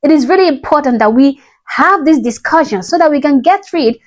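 Emphatic young woman vlogger, slightly high. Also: animated, a little fast. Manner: emphatic, preachy, often tags "okay?". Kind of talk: opinion commentary to camera. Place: bedroom indoors. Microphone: lapel mic.

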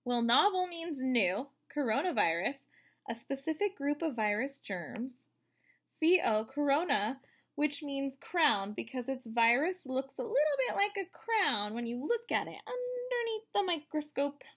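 A woman in her thirties reading a picture book aloud to children, explaining as she goes in an expressive way. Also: high voice, measured pace. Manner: expressive, explanatory, addressing young listeners. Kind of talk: read-aloud storytelling for children with asides. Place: room at home, indoors.

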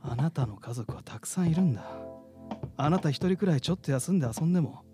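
A man's voice: a little high, lively intonation, quick pace, close to an everyday voice. A man playing a cocky, carefree, teasing katydid in a theatrical radio drama style. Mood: coaxing. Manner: theatrical, mock-polite turning taunting. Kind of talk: live radio drama acting. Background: music.

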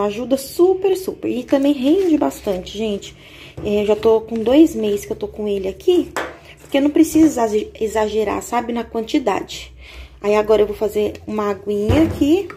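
A woman's voice: a little high, mellow, a little hiss or hum. Chatty woman vlogging her kitchen cleaning. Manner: chatty, uses lots of diminutives and "tá?". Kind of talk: casual chat while cleaning. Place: small home kitchen, indoors. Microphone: handheld phone camera.

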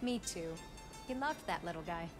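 An actress playing a warm, caring middle-aged mother in a video game, speaking affectionately. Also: on the low side, lively intonation, moderate pace, music in the background, close to an everyday voice. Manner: affectionate, motherly, slips into Spanish endearments. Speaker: game character.

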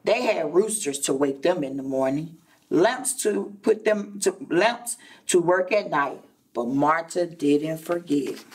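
A middle-aged woman, a preschool teacher, reading a story aloud to young children in a deliberate, explanatory way with repeated phrases and asides. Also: low voice, expressive, darkish tone. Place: indoor classroom. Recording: distant microphone.

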